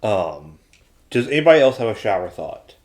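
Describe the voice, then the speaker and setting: A man's voice: lowish voice, big pitch swings, moderate pace, gravelly, darkish tone. A man hosting a podcast in a casual, chatty style. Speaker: podcast host. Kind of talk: casual chat.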